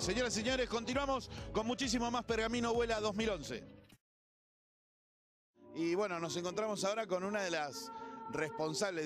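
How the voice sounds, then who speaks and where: Casting: Argentine man, TV presenter, high voice, enthusiastic broadcast delivery, music underneath. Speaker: TV host and reporter.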